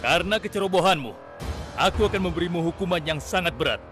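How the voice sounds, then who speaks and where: Stern, just cartoon monarch, fairly high voice, deliberate royal commands, clear music bed. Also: male voice, animated. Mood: stern, angry. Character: stern, just prophet-king Sulaiman, quick to threaten punishment. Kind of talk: Indonesian children's religious cartoon acting. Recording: loud music behind the voice.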